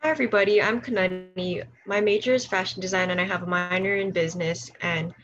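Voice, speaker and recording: A woman's voice: lowish voice, fairly even intonation, fast talker, fairly bright tone, highs cut off. A young woman from Hawaii, an orientation leader, earnestly sharing advice with a personal story. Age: young adult. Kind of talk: casual panel advice.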